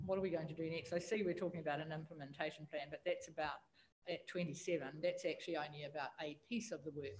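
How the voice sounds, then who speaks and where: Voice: mid-range voice, slight background noise, low-quality recording. Thoughtful New Zealand councillor. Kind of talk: asking a question in a meeting.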